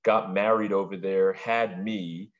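Middle-aged man, from Brooklyn, low voice, dull lo-fi sound, reflective interview guest. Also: steady tone, a little slow. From Brooklyn, New York. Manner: reflective, speaks in long winding sentences. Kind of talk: podcast interview.

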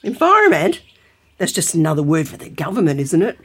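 An actress playing a stubborn, gullible older grandmother in a comic audio drama, husky-voiced and full of indignant questions. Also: on the low side, wildly swooping pitch, a little fast, full character voice. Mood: sceptical, indignant.

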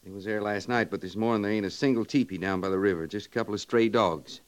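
An actor playing a plain-spoken cavalry sergeant in an old radio western, deferential and ungrammatical. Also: male voice, on the low side, quick pace, lightly acted. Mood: dutiful. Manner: folksy ungrammatical speech, deferential. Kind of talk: 1950s radio western acting.

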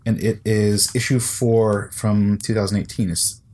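Chatty man with frequent restarts. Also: lowish voice. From United States. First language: English, second language Icelandic. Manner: relaxed, halting, frequent restarts. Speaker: podcast co-host. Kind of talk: casual conversation.

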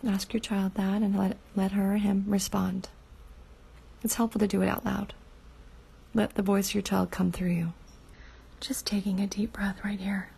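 A woman leading a guided inner-child meditation, speaking softly and slowly, often in a half whisper. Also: mid-range voice, flat delivery, vocal fry, slightly rough.

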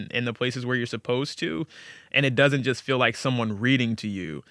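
A man's voice: medium pitch, pushed and bright, no echo, studio quality. A man speaking as a guest storyteller in a casual interview, candid and informal, with a self-deprecating way of talking.

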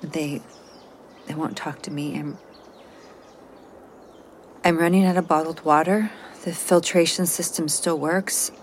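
An actress playing a frightened, lonely astronaut stranded on another world in an audio drama, speaking in a halting, intimate way. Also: female voice, low-pitched, fairly even intonation, slight background noise. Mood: weary, uneasy. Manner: halting, intimate, drifting into whispers. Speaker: mission specialist in an audio drama. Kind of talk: modern audio drama acting, recorded log monologue.

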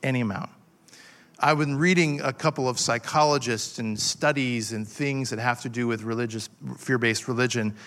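A man's voice: medium pitch, steady tone, a bit bright. Male pastor, 40s, fervent sermon delivery, stop-start phrasing, mid-range voice. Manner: impassioned, halting restarts with frequent fillers.

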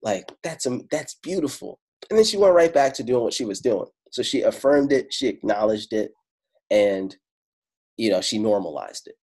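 Husky, laid-back male spiritual speaker. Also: mid-range voice, expressive, a little mellow. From Italy. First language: Italian. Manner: casual and profane, slows down for emphasis. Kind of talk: casual storytelling talk.

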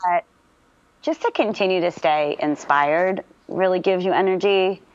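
A woman voice actor chatting in an interview, lively and talkative with many fillers. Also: on the low side, unhurried, dull audio. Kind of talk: casual interview chat.

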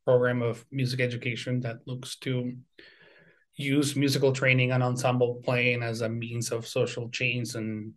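A man in his forties from Venezuela talks in a reflective interview style. His pitch sits in the middle, and he often doubles back on small words while he gathers his thoughts. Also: level tone, a bit bright. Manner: halting, repeats words as he thinks. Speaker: composer and university music teacher, guest.

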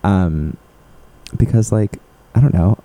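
A man's voice: deep voice, takes it slowly, mellow, poor audio. A man co-hosting a podcast in casual, sarcastic chat, swearing and joking freely. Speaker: podcast co-host.

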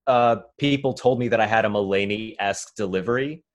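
A man's voice: fairly low pitch, brisk. A man in his twenties from New York City, a stand-up comedian being interviewed, talking in a chatty, rambling way full of fillers. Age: 20s. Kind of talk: casual conversational interview.

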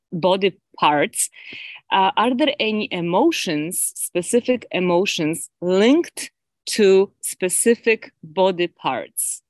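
A woman's voice: on the low side, dry recording. A woman from Poland asking a polite, hesitant question as an audience member. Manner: hesitant, many fillers.

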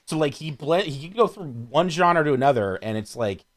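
A man talking casually as a podcast panelist. His pitch swings widely as he rambles through stories in loose, profane language, giving the chat an animated feel. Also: normal speed, a crowd in the background. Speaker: podcast panelist discussing films.

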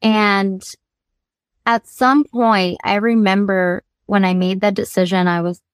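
A woman's voice: mid-range voice, steady tone, takes it slowly, clean tone, dry recording, studio quality. A young woman from the United States, a singer-songwriter guest, telling her life story candidly and earnestly, laughing easily as she talks.

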